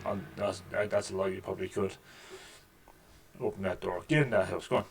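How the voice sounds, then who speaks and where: A man with a deep voice hosting a casual let's-play, making wry, joking remarks over the gameplay at a desk microphone. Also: little pitch movement, fairly quick, gravelly, slight background noise. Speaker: gaming channel host. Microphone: desk microphone with mixer.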